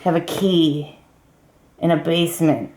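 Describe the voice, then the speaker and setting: Young titan puppet, female performer: brooding, stammering deadpan, voice pushed low, roomy sound. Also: a little slow. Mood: secretive. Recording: echoey room.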